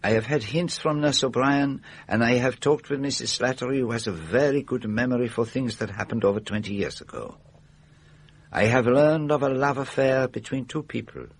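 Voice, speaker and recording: A shrewd older detective with a Belgian French accent. The voice is slightly husky and middling in pitch. He questions patiently and formally, placing words with care in classic radio drama style. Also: lively intonation. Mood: calm, insistent. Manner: precise, formal, deliberate questioning.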